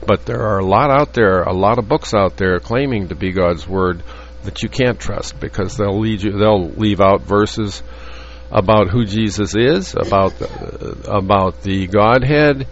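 A man teaching from the Bible in an earnest, halting way, with frequent restarts and fillers. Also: fairly low pitch. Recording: dull lo-fi audio with faint hum.

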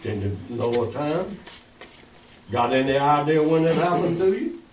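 A man's voice: fairly high pitch, animated, a little slow, background noise. An older man preaching a sermon, pressing his listeners with rhetorical questions. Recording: echoey, distant, dull lo-fi sound.

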